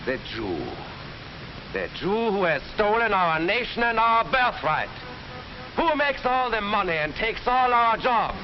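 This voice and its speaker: High-pitched fanatical Nazi orator. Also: male voice, very animated, unhurried, faint music behind. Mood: hateful, rousing. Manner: declamatory, demagogic. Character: fanatical Nazi street orator, hateful and rabble-rousing. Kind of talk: 1940s film acting, political rally speech. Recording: noisy, dull 1940s film soundtrack.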